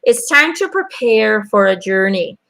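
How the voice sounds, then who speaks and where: Chatty, gravelly-voiced Belgian woman reading cards. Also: mid-range voice, sing-song, measured pace, bright, forceful tone. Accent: American-sounding English. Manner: chatty, hesitant, repeating names and phrases. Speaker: angel card reader. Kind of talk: live-stream card reading. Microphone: webcam.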